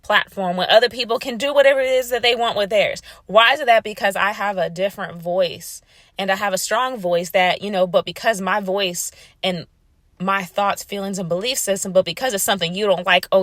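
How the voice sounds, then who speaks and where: Woman venting to the camera, firm and emphatic, mid pitch, dry close sound. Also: a bit bright, no room sound. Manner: assertive, emphatic, repeats "right?" and "you know". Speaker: vlogger. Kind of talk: casual monologue to camera.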